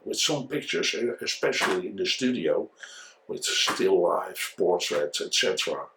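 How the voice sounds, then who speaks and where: A man with a Dutch accent, a native Dutch speaker, giving an informal tutorial and review in a halting, didactic way, often repeating his words. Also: sits low, lively intonation, very noisy. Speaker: photography instructor and video reviewer.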